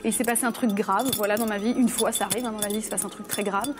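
A woman in her thirties from Belgium, a native Italian speaker, chatting as a guest on a television talk show in a studio. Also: medium pitch. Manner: quick, warm and animated storytelling. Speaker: guest singer. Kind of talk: casual talk show chat. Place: TV studio set at a dinner table.

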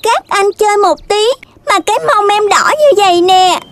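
Tiny high-voiced aggrieved cartoon calf. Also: female voice, expressive, moderate pace. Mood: reproachful. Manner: childlike, plaintive. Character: little calf child, aggrieved and reproachful. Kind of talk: children's cartoon dubbing. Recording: loud music behind the voice.

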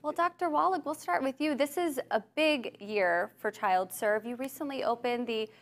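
A woman's voice: high voice, moderate pace. A woman in her thirties with an American accent, presenting and interviewing as host of a lifestyle TV show. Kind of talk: scripted presenting and friendly interviewing.

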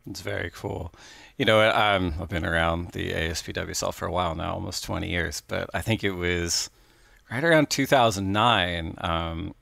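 A middle-aged man hosting a podcast on a headset mic, relaxed and conversational, with lively storytelling. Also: low voice, moderate pace, creaky, no room sound, clean studio sound. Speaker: podcast host. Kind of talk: casual interview over video call. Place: home office indoors.

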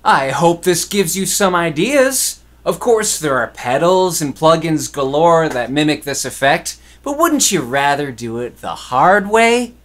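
A man's voice: on the high side, very animated, a little slow, hardly any pauses, a bit bright. Laid-back young man explaining studio tricks. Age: young adult. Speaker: musician hosting a recording tutorial. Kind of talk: casual instructional talk to camera. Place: small indoor home studio.

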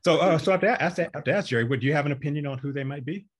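A man's voice: a little high, racing, husky. A young man on a video call, explaining Bible passages earnestly and stumbling over repeated words. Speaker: Bible teacher in discussion. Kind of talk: casual video call discussion. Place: indoors with a virtual background. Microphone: video call webcam.

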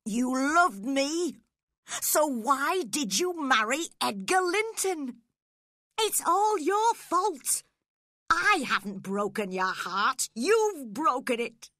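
A woman's voice: sits low, steady tone, slow, a bit bright, no room sound, clean studio sound, heavily acted. A woman voicing a passionate, brooding man anguished by love, dramatic and impassioned, in a storybook reading. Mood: wild reproach.

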